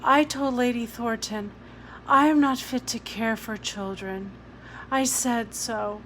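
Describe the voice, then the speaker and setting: A woman's voice: on the high side, slow, a bit bright, a little hiss or hum, rough recording. A woman reading a storybook, voicing a weary, blunt, guilt-ridden woman guardian with an emphatic manner. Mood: despondent.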